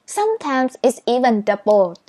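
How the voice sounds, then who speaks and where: A woman's voice: fairly high pitch, sing-song, normal speed, dark tone. A woman whose first language is Vietnamese, speaking English as she teaches speed reading step by step in a patient, encouraging manner. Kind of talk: step-by-step tutorial.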